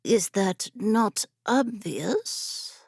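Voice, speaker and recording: Woman doing a sly old genie lady, super slow breathy purr, fantasy audiobook, dry close sound. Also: lively intonation, darkish tone, no echo, heavily acted. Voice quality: throaty, breathy low purr. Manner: slow, drawn-out, teasing. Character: tall ancient female genie, sly predatory story-collector.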